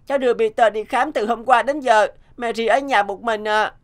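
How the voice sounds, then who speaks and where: A woman's voice: on the high side, normal speed, lightly acted. A woman voicing a polite, earnest young orphaned boy, a devoted big brother, in a cartoon. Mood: anxious pleading. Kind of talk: Vietnamese cartoon dubbing.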